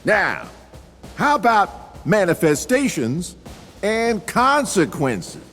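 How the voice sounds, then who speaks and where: A man voicing a commanding male drill sergeant who lectures recruits point by point and shouts his orders. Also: high-pitched, wildly swooping pitch, takes it slowly, long reverb tail, soft background music, exaggerated voice. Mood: barking.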